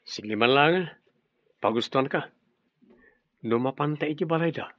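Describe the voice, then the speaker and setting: An actor with an Ilocano accent playing an easygoing young office worker and boyfriend, in a conversational radio drama style. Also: male voice, fairly high pitch, unhurried, dry recording, muffled recording. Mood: friendly.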